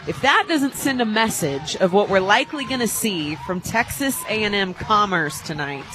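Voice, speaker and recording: A man giving conversational analysis as color commentator on a live softball radio broadcast. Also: high voice, lively intonation, moderate pace, over music, poor audio. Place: stadium radio booth.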